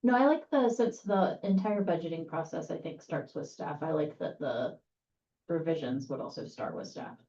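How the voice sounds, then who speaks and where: A woman speaks casually at a board meeting, agreeable and hedging with plenty of "like" and "yeah." Her voice is very low and mellow, and the recording sounds muffled and lo-fi. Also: moderate pace, roomy sound. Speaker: board member. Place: meeting room.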